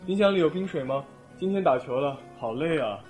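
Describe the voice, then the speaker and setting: A man's voice: a little high, animated, brisk, a little mellow, close to an everyday voice. A man acting a caring but exasperated teenage schoolboy and older brother in an audio drama. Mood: dry. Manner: dry, long-suffering. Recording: music playing behind.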